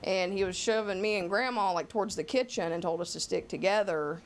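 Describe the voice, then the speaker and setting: Fast-talking woman giving an eyewitness account. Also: mid-range voice, slight background noise. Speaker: crime victim interviewed for news report.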